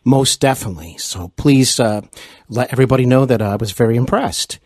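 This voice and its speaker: A man's voice: mid-range voice, fairly quick, darkish tone, no room sound, clean studio sound. A man hosting a conversational interview, speaking hesitantly and in a complimentary way. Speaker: podcast host and interviewer.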